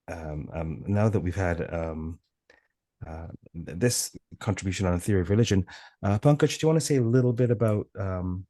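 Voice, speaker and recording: Man, host, very deep voice, dry close sound, hesitant with fillers, academic discussion. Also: warm tone, no room sound. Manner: hesitant, many fillers and restarts. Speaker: podcast host.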